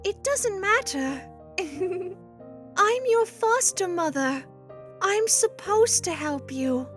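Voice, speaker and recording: Woman voicing a high, bright motherly spider lady. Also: expressive, slow, heavily acted. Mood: gentle, coaxing. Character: motherly female spider monster, caring and firm. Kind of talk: amateur comic dub voice acting. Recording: music bed under the voice.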